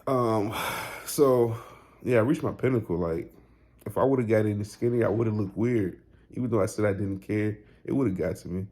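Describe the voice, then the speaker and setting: A man in his thirties talks straight to his viewers in a casual motivational vlog. His voice sits low and mellow. His speech is loose and slangy, and he keeps repeating himself with tags like "you know" and "right". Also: normal speed. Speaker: weight loss vlogger.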